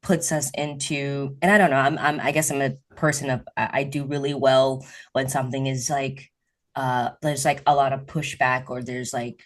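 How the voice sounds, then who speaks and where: A woman's voice: very deep voice, steady tone, normal speed. A woman hosting an interview podcast, asking questions in a rambling, chatty way with many fillers. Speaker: podcast host. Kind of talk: casual interview chat.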